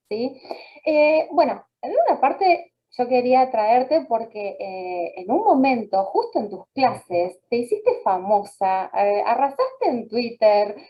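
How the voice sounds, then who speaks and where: A woman radio host with an Argentine accent who peppers her questions with laughter and vos forms. Her voice is fairly high. The sound is muffled and lo-fi. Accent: Argentine Spanish. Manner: laughs often, uses voseo. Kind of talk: friendly radio interview.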